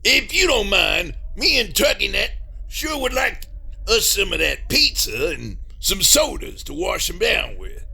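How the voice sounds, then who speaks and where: A man playing a folksy, mock-formal rural Southern monster hunter in a comedy horror audio drama, with a gravelly, raspy voice. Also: sits high, sing-song, measured pace, sharp tone, heavily acted. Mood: polite, hearty.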